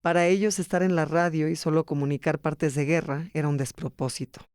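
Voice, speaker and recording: A man's voice: a little high, little pitch movement, a little fast, a little mellow, clear, resonant tone, no room sound, studio quality. A man, reading scripted documentary narration as a podcast host. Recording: music bed behind narration.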